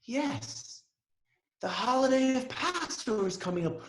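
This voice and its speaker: A man voicing an excitable husband who talks in a high, eager rush and repeats himself. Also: unhurried, echoey room, exaggerated voice. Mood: excited. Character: excitable man, breathless with good news.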